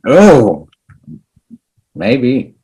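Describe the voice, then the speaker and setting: A man's voice: medium pitch, huge pitch swings, extremely slow, dark tone, slightly rough, exaggerated voice. A man reading the part of a cocky, mischievous teenage boy at a script table read, teasing and sarcastic. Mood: curious.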